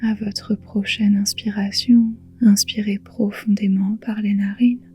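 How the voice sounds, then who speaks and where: A woman guiding a relaxation meditation in a soothing, hushed, breathy voice, leaving long pauses between phrases. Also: medium pitch, flat delivery. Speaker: yoga nidra guide.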